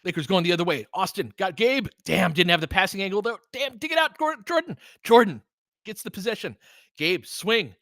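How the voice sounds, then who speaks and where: A man giving informal, partisan live basketball commentary as host, excitable, with whoops and casual swearing. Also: high voice, brisk, no room sound, studio quality.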